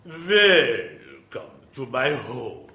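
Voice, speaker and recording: An actor playing Dracula as a courtly, eerie old-world vampire host, formal and theatrical in an old-time horror radio play. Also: male voice, medium pitch, sing-song, creaky, bright, forceful tone, exaggerated voice. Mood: courtly, ominous. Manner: formal, theatrical, drawn-out delivery. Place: small theatre before an audience. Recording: echoey live stage, some noise.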